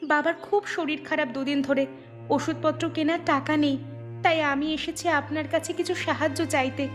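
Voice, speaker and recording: A woman's voice: high voice, fairly even intonation, fairly quick, faint music behind, close to an everyday voice. A woman playing an earnest, dutiful young village daughter in a cartoon, pleading and reproachful.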